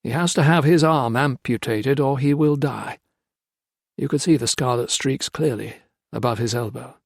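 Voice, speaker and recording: A man reading a story voices an older priest who speaks quietly and with compassion. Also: mid-range voice, moderate pace, gravelly, husky, dry recording, studio quality. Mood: gentle. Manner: quiet, soft, patient.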